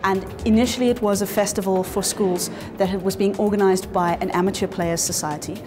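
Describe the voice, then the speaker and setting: A woman's voice: medium pitch, steady tone, fairly quick, over music. A South African woman speaking in an interview as an organiser, articulate and explanatory. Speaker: festival organiser.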